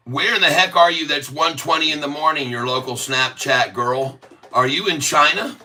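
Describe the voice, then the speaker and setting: Man, livestream host, jokey, laughs constantly, bright forceful voice, sits a bit far from the mic. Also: on the high side, normal speed. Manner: jokey, laughs often, mock accents. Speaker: livestream host reading chat. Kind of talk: casual livestream chat.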